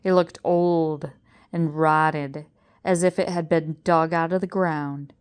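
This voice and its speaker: An older woman narrates a children's story aloud. Her voice sits a little low and she takes her time. She reads dramatically, building suspense. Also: steady tone, slow pace, clean tone. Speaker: storybook reader.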